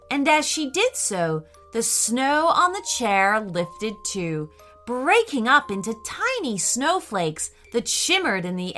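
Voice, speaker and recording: Children's story narrator, woman: higher-pitched, bright, expressive, careful dramatic delivery.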